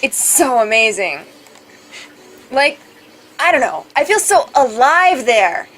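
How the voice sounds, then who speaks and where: A woman's voice: high-pitched, very animated, slow pace, fairly bright tone, poor audio. A woman reading visual novel dialogue aloud as a let's play host, playful and casual in her delivery. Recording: music behind.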